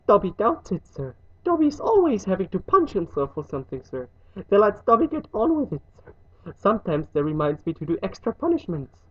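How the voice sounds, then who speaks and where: A woman performs a small, servile male elf from a storybook. The voice is very high and shrill, swinging wildly in pitch with an earnest, easily tearful eagerness. The recording is muffled. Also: very animated, fairly quick, a little mellow, slightly rough. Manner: speaks of self in third person, deferential.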